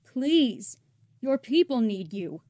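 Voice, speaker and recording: A woman playing a stern, pleading robot resistance commander, speaking slowly and gravely in a comic dub. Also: lowish voice, steady tone, mellow, no echo, studio quality, close to an everyday voice. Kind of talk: dramatic comic-book dub.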